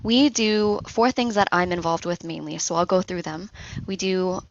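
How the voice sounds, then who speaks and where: Female interview guest, slightly low voice, explains things methodically and conversationally, close dry sound. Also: steady tone, normal speed, no echo, highs cut off. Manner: explains step by step with examples. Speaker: interview guest, social engineering analyst.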